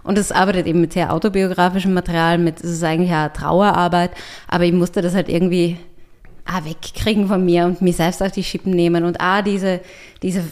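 A woman's voice: fairly low pitch, little pitch movement, brisk, darkish tone. A woman with an Austrian accent talking casually as a writer and artist being interviewed on a podcast. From Europe.

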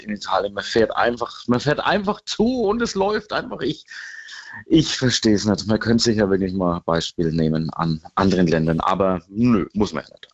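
A man co-hosting a casual podcast, rambling and halting as he circles back mid-sentence. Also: sits low, slightly rough, highs cut off. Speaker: podcast and radio co-host. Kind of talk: casual unscripted banter.

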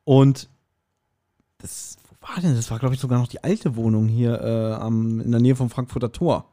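A man chatting casually as a podcast host, telling anecdotes in an animated way and acting out quotes. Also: mid-range voice, normal speed. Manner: animated retelling with acted-out quotes. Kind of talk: casual chat, anecdotal storytelling.

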